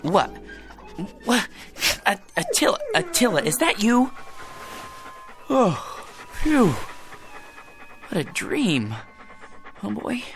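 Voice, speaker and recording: An actor playing a timid, plaintive husband of a movie star in a radio drama. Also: male voice, extremely high pitch, huge pitch swings, slow, pauses often, fairly bright tone, faint music behind, heavily acted. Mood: wistful. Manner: timid, plaintive, self-pitying. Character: meek, lovesick husband of a star.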